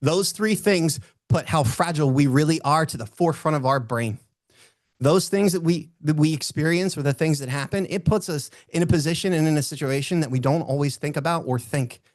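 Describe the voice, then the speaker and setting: A man with a booming voice speaking as a motivational speaker, telling personal stories and repeating phrases for emphasis. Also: a little fast, no echo. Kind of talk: motivational talk with personal storytelling.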